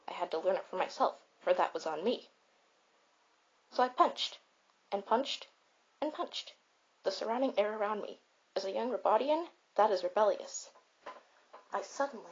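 Girl doing a caring but blunt robot for a comfort roleplay, breathy rough voice, grand repetitive speeches, kinda muffled homemade audio. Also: medium pitch. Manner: deliberate, declamatory, repetitive. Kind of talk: comfort roleplay monologue with storytelling. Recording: slightly dull, raw home recording.

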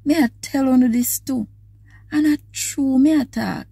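A middle-aged woman with a Jamaican accent reading aloud devotionally in a measured, expressive way. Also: high voice, vocal fry, slightly rough, no room sound. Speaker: scripture reader. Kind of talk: devotional read-aloud in a casual, personal setting. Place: inside a parked car.

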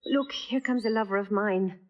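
A woman's voice: mid-range voice, close to an everyday voice. An actress playing a witty, playful young noblewoman in disguise, in classical stage verse. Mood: earnest. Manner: quick, teasing, bold. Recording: dull lo-fi audio.

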